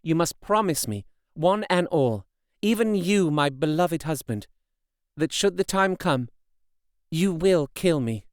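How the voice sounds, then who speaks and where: A man's voice: fairly high pitch, lively intonation, unhurried, smooth, dry recording, studio quality, pitched above the performer's own voice. A man voicing a devoted young wife in an audiobook, speaking with earnest, tender pleading. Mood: solemn pleading. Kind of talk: audiobook character reading.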